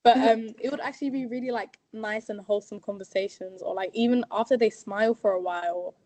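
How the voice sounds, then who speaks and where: A woman's voice: mid-range voice, fairly even intonation, a little fast, warm tone, no echo, poor audio. A young woman, a student abroad, talking casually with many fillers in a dry, close recording. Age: young adult. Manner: talkative, filler-heavy. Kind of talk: casual conversation.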